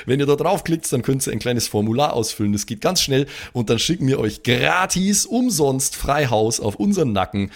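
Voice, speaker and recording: A man's voice: mid-range voice, moderate pace, gravelly, hardly any pauses. A man co-hosting a tabletop podcast, chatting casually with slang and plugging the show to listeners. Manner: energetic, laid-back slang. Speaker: podcast host. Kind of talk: casual chat and plug.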